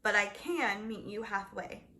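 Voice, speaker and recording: A reasonable storybook father voiced by a woman reader, patient and measured. The delivery is bright and clearly meant to bargain gently with a child. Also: medium pitch. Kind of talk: children's storybook read-aloud.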